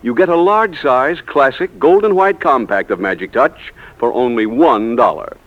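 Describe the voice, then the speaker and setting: An American man delivering a polished, emphatic scripted sales pitch as a 1940s radio announcer. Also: animated, normal speed. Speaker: commercial announcer. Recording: tinny, narrow 1940s radio recording.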